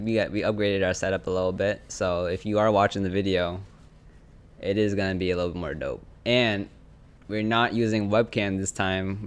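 A young man with a very low voice hosts a laid-back podcast from a friend's apartment living room. He talks loosely, dropping slang and laughing easily. He speaks into a single podcast mic. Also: smooth. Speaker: podcast host. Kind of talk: casual podcast chat.

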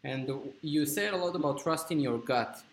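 A man's voice: on the high side, normal speed. A man from Israel with an Israeli accent, interviewing a guest and telling his own story in earnest, sometimes groping for words. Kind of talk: interview questions and personal story. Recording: echoey room with faint ambient noise.

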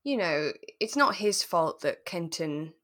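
A woman with a gently low-set voice talks as a podcast co-host. Her delivery is conversational and thoughtful, chatty, with frequent tag questions seeking agreement. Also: lively intonation, a little slow. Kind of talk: relaxed conversational drama recap.

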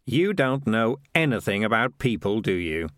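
A man's voice: fairly low pitch, very animated, normal speed, no echo, clean studio sound. A man voicing Morris, a cynical streetwise male cat who talks in a wry, grumbling, sarcastic way.

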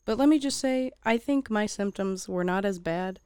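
A woman co-hosting a podcast in casual chat, her voice slightly raspy from a cold, laughing and joking often. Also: mid-range voice, moderate pace, mellow, no room sound, clean studio sound. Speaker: podcast co-host.

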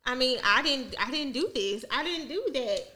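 A young adult woman in a casual podcast chat, an animated storyteller who laughs a lot. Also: high-pitched, normal speed. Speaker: podcast co-host.

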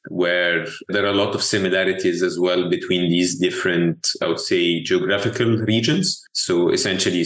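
A man in his forties from Egypt, answering interview questions in a measured way, thinking aloud with small restarts. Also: low-pitched, a bit bright. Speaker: venture capital investor guest. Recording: roomy echo.

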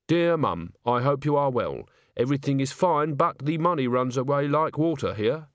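A man's voice: medium pitch, lively intonation, moderate pace, dry recording, clean studio sound, pitched above the performer's own voice, natural voice. A man voicing a sensible, earnest and slightly weary young country woman in a storybook reading. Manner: careful, earnest.

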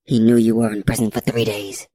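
A woman voicing a gruff, scolding male federal agent in an audiobook story. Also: rumbling low, flat delivery, brisk, dark tone, no echo. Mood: stern. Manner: stern and scolding.

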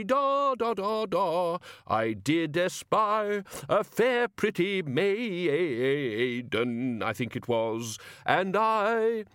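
A man's voice: mid-range voice, fairly bright tone, dry recording, clean studio sound. A man voicing a brusque, hearty male wizard who runs a university, blunt and impatient, in an audiobook. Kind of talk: audiobook character voice.

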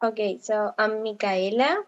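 A woman's voice: medium pitch, unhurried. Woman from Argentina, dull audio. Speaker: English teacher and panelist. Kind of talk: self-introduction on a panel.

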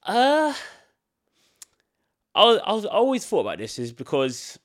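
A man's voice: high voice, huge pitch swings, measured pace. A young man in his twenties hosts his own podcast alone, his voice stuffy and nasal from a heavy cold. He talks casually and loosely, circling his points with a lot of filler words. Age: 20s. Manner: casual, rambling, many filler likes. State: congested from a cold. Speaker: podcast host. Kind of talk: solo podcast chat.

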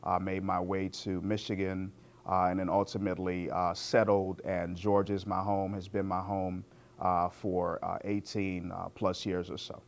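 A middle-aged man from Guyana and New York City answering interview questions as a judge, in a measured, explanatory way. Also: low voice, level tone, gravelly. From born in Guyana, grew up in New York City.

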